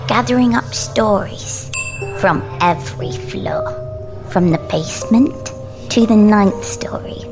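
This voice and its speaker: A woman playing a lonely, ghostly Victorian little girl in an audio drama, speaking in a deliberate, eerie storytelling manner. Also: medium pitch, vocal fry. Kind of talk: scripted theatrical audio drama. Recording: music behind the voice. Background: music.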